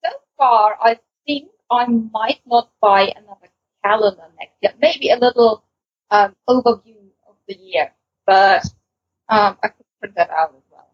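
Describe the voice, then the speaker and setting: A woman's voice: fairly high pitch, measured pace, long pauses, husky, dry recording. A woman talking casually as a co-host on a podcast. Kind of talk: casual chat. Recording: dull lo-fi, close dry sound.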